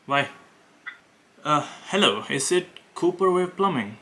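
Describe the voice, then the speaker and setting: A young man with an Indian accent, talking casually to camera and pitching on sales calls, hesitant with many fillers and restarts. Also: expressive, unhurried, a bit bright. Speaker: web design agency owner making cold calls.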